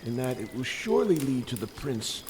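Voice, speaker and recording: A man in a dramatic story reading, playing a fatherly elder Magus who is questioning yet gently wise. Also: mid-range voice, very animated, moderate pace, natural voice. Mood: gentle, reflective. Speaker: amateur church reader. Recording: faint background noise.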